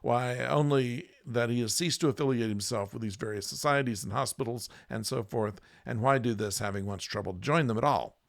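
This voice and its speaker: A man on a podcast talking casually about a book and reading long passages from it aloud, halting with fillers and restarts. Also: mid-range voice, vocal fry, dry recording. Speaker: podcast host.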